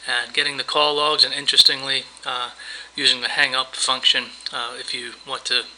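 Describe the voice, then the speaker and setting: A man presenting a software tutorial, narrating a screen demo in a casual, explanatory, step-by-step way. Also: mid-range voice, little pitch movement, normal speed, a little hiss or hum, low-quality recording. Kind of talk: screencast demo narration, semi-improvised walkthrough.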